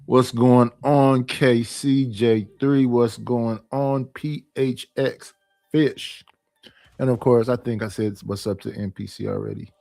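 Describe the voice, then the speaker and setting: Gravelly man greeting his chat. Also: mid-range voice, steady tone, takes it slowly, dark tone, dry recording.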